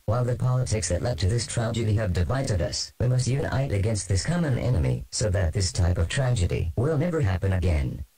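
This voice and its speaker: A man playing a solemn presidential representative, giving a grave, formal, measured address to the nation in a scripted broadcast drama. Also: fairly low pitch, warm tone, husky, low-quality recording. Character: solemn male presidential representative announcing a tragedy. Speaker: government spokesman.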